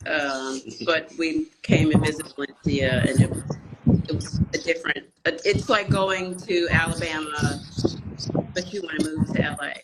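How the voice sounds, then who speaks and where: A woman from Atlanta, a guest chatting quickly and casually in an interview. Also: low-pitched, fairly even intonation. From Atlanta, Georgia, US. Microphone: video call. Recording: loud background noise on video call.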